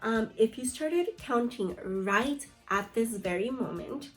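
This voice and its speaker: A woman's voice: fairly high pitch, lively intonation, slow, faint music behind, rough recording. A woman teaching young children through a read-aloud lesson, reading from a book and prompting pupils with questions and short instructions. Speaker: second-grade teacher.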